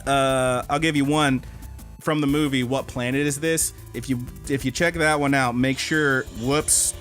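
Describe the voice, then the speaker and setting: A man's voice: medium pitch, fairly even intonation, a little slow, fairly bright tone, soft background music. A man co-hosting a podcast in a casual chat, talking with slangy enthusiasm. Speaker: podcast co-host.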